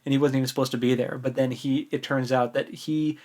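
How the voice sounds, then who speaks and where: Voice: mid-range voice, steady tone, fairly quick, a little mellow. Casual, chatty American book podcaster. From United States. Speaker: podcast co-host.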